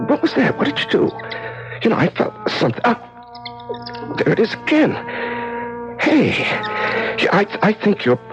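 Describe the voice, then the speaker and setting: An actor playing a desperate, lonely prisoner in solitary confinement in a 1970s radio drama, halting and stammering, repeating himself with fervour. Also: male voice, high voice, creaky, highs cut off, full character voice. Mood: startled. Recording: vintage radio drama with music.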